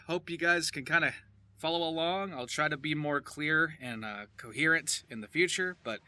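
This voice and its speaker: A man with a very bright, forceful voice gives a loose, informal tutorial as a voice actor. His pitch moves around in a lively way as he rambles encouragingly. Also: no echo. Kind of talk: loose, casual instructional talk.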